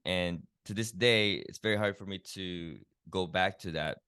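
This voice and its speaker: A man with a low, resonant voice tells his own story as a podcast guest. His tone is earnest and reflective, and he often uses filler phrases as he works through it. The sound is close and dry, with no room echo. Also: moderate pace, bright, forceful tone, clean tone. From Philippines. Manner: earnest, reflective, many "you know" fillers. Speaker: guest, keto health coach. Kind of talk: personal story in a podcast interview.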